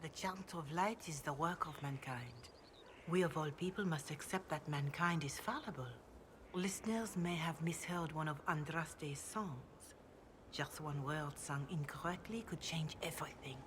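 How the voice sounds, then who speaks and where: Low-voiced solemn priestess. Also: female voice, noisy background. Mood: reflective. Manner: solemn, measured, reverent. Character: devout, grave chantry priestess, calm and counselling. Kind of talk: video game dialogue, scripture recitation.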